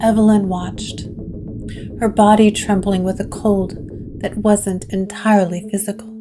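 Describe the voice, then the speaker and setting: A woman narrating a gothic audio drama from a script, in a deliberate storytelling manner. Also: mid-range voice, level tone. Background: music.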